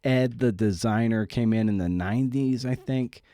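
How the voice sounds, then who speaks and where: A man's voice: medium pitch, normal speed, dark tone, no echo, studio quality. A man hosting a podcast, talking casually in short, informal, offbeat phrases. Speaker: podcast host. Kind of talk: casual podcast intro and interview.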